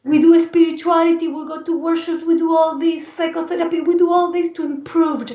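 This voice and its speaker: A woman from Argentina with an Argentine Spanish accent and a raspy voice, speaking earnestly and reflectively as an interview guest. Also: very high voice, little pitch movement, fairly quick, mellow. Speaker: interview guest, life and movement coach. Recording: roomy echo, distant mic, dull sound.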